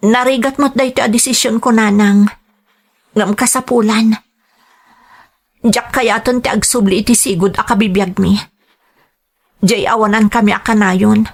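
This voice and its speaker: A woman's voice: on the high side, creaky. A woman playing an earnest, respectful migrant-worker mother in an audio drama. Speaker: voice actor. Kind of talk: Ilocano audio drama acting.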